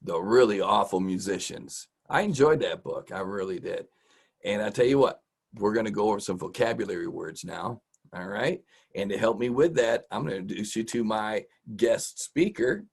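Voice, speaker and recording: A man's voice: on the low side, creaky, slightly rough. A middle-aged man hosting an informal, encouraging vocabulary lesson for children in a folksy, casual way. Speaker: host, teacher.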